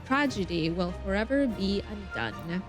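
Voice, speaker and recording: A woman game streamer with a medium-pitched voice reads on-screen story text aloud. She is expressive and chatty, slipping between dramatic narration and casual comments, with faint music underneath. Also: a little slow. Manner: expressive, dramatic reading mixed with chatty asides.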